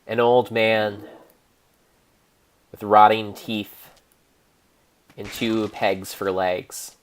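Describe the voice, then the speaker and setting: Slow, deep-voiced man telling a dramatic tale. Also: little pitch movement. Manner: theatrical, measured, pausing between phrases. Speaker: streamer, narrator. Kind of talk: improvised dramatic storytelling.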